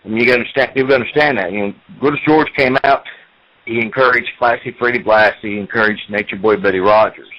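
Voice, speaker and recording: A man's voice: mid-range voice, fast talker, highs cut off. A man in his forties, a professional wrestler, talking at length in an interview, repeating himself as he tells his story. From United States. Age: 40s.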